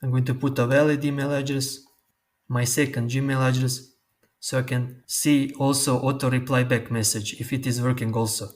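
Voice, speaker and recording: Man explaining software steps. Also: mid-range voice, level tone. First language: Croatian. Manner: slow, step-by-step instructions. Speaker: tutorial host. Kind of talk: screen-recorded software tutorial.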